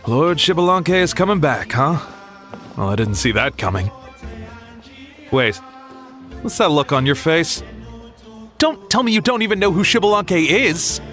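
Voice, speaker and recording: A man's voice: a little high, sing-song, measured pace, long pauses, fairly bright tone, faint music behind. A man voicing a casual, teasing townsman in a video game. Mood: surprised, teasing.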